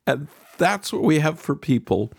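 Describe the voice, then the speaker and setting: Gravelly, animated male radio host. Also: on the high side, normal speed, no echo. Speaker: podcast host. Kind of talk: radio interview hosting.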